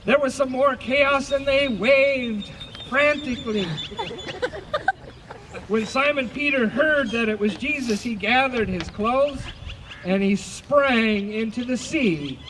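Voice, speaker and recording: A man with a very high voice narrates a scripture dramatization outdoors. He takes it slowly and deliberately, projecting each phrase, with faint outdoor noise and birds behind him. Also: fairly bright tone, low-quality recording. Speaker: narrator of an Easter service dramatization. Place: outdoors by a lake. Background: birds and outdoor ambience.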